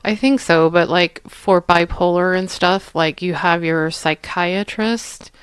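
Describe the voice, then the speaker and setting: A woman's voice: lowish voice, steady tone, takes it slowly, smooth, no room sound. A woman co-hosting a podcast in casual chat, agreeable and sprinkling her speech with "like". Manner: agreeable, lots of "like" fillers, short backchannels. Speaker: podcast co-host.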